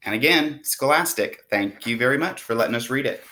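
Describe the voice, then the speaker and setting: A woman reading a rhyming picture book aloud to children with expressive, dramatic storytelling. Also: rumbling low, a little fast. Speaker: storybook reader.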